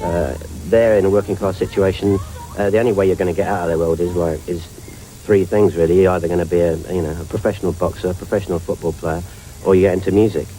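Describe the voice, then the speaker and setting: A man's voice: deep voice, fairly even intonation, quick pace, warm tone, soft background music, low-quality recording. A man from north London, a film director, answering interview questions in a reflective, rambling way.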